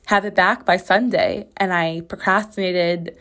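A young woman hosting a casual solo podcast from her bathroom, her voice slightly raspy as it recovers from being lost, chatting in a rambling way full of "like"s. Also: on the low side, fairly even intonation, a little slow, fairly bright tone. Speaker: podcast host. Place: home bathroom.

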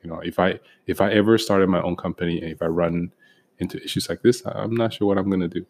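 A man's voice: low-pitched, steady tone, fast, creaky, darkish tone. A young man co-hosting a casual podcast, talking with frequent ums and restarts. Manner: hesitant, many ums and restarts. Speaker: podcast co-host.

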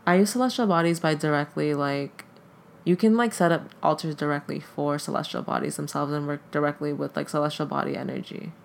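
A podcast guest whose first language is Tagalog, with a gravelly, creaky edge to a mid-pitched voice. They talk casually and haltingly, with "like" dropped into almost every phrase. A faint hiss of noise sits under the recording. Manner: hesitant, heavy use of "like" fillers. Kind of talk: casual conversation.

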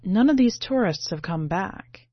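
A woman's voice: medium pitch, animated, measured pace, husky. Female reader as worried young ticket taker, male, earnest, insistent storybook dialogue, echoing room. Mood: uneasy, insistent. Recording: muffled, roomy home recording.

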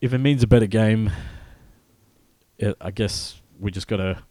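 Low-voiced man co-hosting a gaming podcast. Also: dark tone, no room sound. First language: not Polish. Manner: halting, many fillers and restarts, apologetic. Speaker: podcast co-host. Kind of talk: casual chat, reading news.

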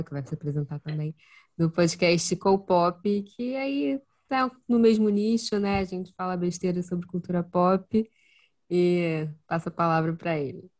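A woman's voice: on the low side, fairly even intonation, measured pace, darkish tone. A young woman guesting on a podcast, joking around and laughing easily in casual chat.

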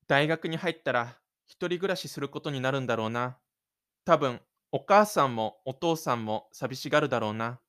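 A man's voice: mid-range voice, moderate pace, fairly bright tone, smooth, lightly acted. A man voicing a shy, stubborn, lonely teenage schoolboy in an introspective audio drama monologue. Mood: determined, dreamy.

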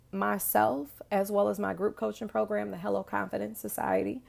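A woman hosting her own podcast as a confidence coach, talking casually and emphatically with a hoarse, raspy voice. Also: mid-range voice, darkish tone. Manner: chatty, emphatic, frequent "right?" and "um". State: hoarse, raspy voice after illness.

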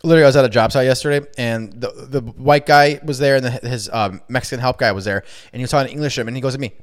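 A man's voice: medium pitch, racing. A young man co-hosting a casual podcast chat, crude and swearing freely. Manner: crude, swears a lot, bursts into loud put-on voices when quoting people. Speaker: podcast co-host.

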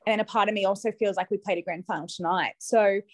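A young woman talks sport on a podcast, chatty and quick to laugh. The recording is dry and close, with no room around her voice. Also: medium pitch, steady tone, dry recording. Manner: giggly, chatty, relieved. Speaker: podcast guest, sports fan. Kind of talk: casual chat.

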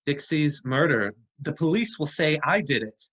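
An actor playing a stubborn, smooth-talking lawyer and fiancé in trouble, in the style of a vintage radio crime drama. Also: male voice, a little high, animated, moderate pace, fairly bright tone. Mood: grave, resigned. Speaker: actor in a live radio theatre retelling. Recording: muffled, band-limited audio.

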